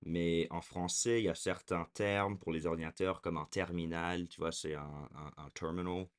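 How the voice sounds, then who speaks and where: Young man, very deep voice, halting casual vlog talk, lots of ums and restarts. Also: level tone, clean tone. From raised in America. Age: 20s. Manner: hesitant, many fillers and restarts. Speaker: vlogger. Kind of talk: casual talking to camera.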